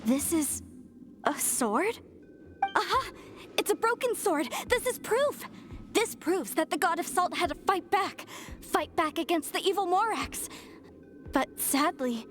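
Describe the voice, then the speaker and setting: A zealous young follower of a fallen goddess speaks in a high, earnest voice. She sounds impassioned and devoted. Game music plays underneath her. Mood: excited.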